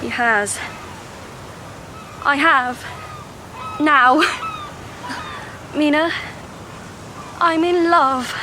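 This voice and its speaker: An actress playing a romantic, open-hearted young Victorian gentlewoman in an audio drama. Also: sits high, extremely slow, pauses often, slight background noise, full character voice, poor audio. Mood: elated, in love.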